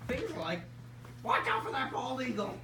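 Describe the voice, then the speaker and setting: A man voicing a goofy loon bird in a puppet show, loud and playful. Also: extremely high pitch, lively intonation, normal speed. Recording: noisy, echoey room from far off.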